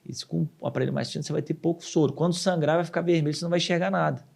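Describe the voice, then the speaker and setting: A man's voice: a little high, rapid-fire, vocal fry, slightly rough. A man, a surgeon guest telling stories on a podcast and acting out quoted dialogue. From southern Brazil. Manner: animated storyteller, acts out quoted dialogue. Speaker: urologist guest. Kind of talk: casual podcast interview.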